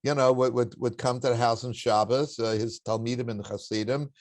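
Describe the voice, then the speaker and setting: An older man with a baritone voice, a guest in an interview, telling family stories in a reflective, anecdotal way with occasional chuckles. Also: fairly even intonation, a little fast, no room sound. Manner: warm anecdotal storytelling with chuckles. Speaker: interview guest, spiritual teacher.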